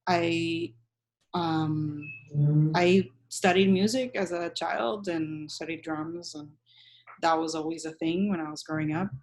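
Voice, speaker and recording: A woman's voice: low-pitched, steady tone, slow, a little mellow, rough recording. A woman speaking casually and informally as a panel guest, loosely phrasing her thoughts with filler words. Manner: informal, frequent "like" and "you know". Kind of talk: casual unscripted panel talk.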